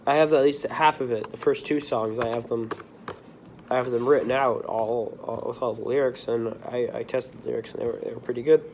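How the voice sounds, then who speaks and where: Teen boy, mid-pitched, a bit hoarse with a cold, easygoing rambling vlog delivery. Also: fairly even intonation, a little fast, a little mellow, slight background noise. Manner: rambling, drifting between topics, frequent restarts. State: slightly hoarse with the sniffles. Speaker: video creator. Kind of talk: casual rambling monologue.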